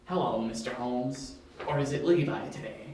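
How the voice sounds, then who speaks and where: Villain for amateur audio drama, male actor: taunting mastermind, politely menacing, average-pitched male voice, very reverberant with faint noise. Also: moderate pace, darkish tone, natural voice. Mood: taunting, amused. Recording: very echoey, distant, with faint hiss.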